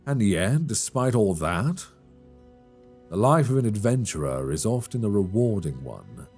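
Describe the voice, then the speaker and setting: A man playing a calm, reassuring elf adventurer in an intimate fantasy ASMR roleplay, speaking gently and soothingly close to a binaural microphone. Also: fairly low pitch, slightly rough, soft background music, full character voice. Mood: tender, encouraging. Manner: gentle, soothing, measured delivery.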